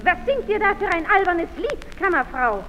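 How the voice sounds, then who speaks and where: A woman's voice: very high voice, very animated, moderate pace, long reverb tail, highs cut off, over music. An actress playing a proud, scheming evil queen in an old radio play, with a haughty, cutting manner. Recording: scratchy 1930s radio recording.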